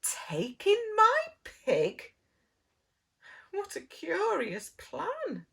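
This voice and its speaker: A woman's voice: very high-pitched, huge pitch swings, drawn-out words, vocal fry, bright, forceful tone, pitched above the performer's own voice, exaggerated voice. A woman voicing a flustered, grumbling little old lady from a storybook, plaintive and exclaiming, with stretched-out words. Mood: astonished.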